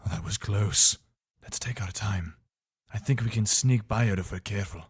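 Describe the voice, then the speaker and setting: A man's voice: lowish voice, moderate pace, breathy edge, no room sound, studio quality. A man playing a dutiful, weary but decent imperial soldier in a fantasy video game, speaking earnestly in short, commanding phrases. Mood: wary, practical. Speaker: voice actor for a game mod.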